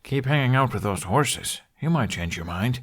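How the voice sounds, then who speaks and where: A man's voice: mid-range voice, expressive, normal speed, voice pushed up, close to an everyday voice. Man voicing a surly, sarcastic young dragon. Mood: surly teasing. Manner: muttering, mock-indignant. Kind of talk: fan audiobook character voice.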